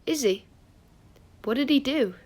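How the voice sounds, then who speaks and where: A woman voicing a gentle, friendly teenage schoolgirl, coaxing and concerned, in audiobook dialogue. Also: high voice, animated, a little slow, pitched above the performer's own voice. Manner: soft-hearted, coaxing.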